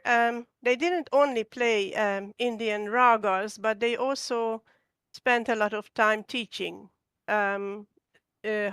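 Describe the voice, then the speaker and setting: A woman's voice: medium pitch, a bit bright, smooth, dry recording, studio quality. A woman from Hungary talking as a guest in a casual chat, hesitant, with many ums and restarts. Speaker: guest artist.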